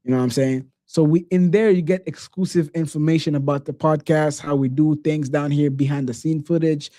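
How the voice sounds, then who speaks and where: A man hosts a podcast in a loose, casual way, dropping slang and "bro" as he talks. His voice is warm and mellow and sits a little high for a man. The sound is dry and close, with almost no room in it. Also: brisk, no echo. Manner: relaxed, slangy, says "bro" often. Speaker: podcast host.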